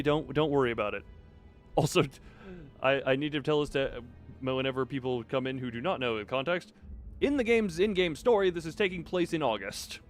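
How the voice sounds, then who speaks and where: A man livestreaming a game, commenting casually and thinking aloud in a rambling way as he goes. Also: on the high side. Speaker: game streamer. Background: game music.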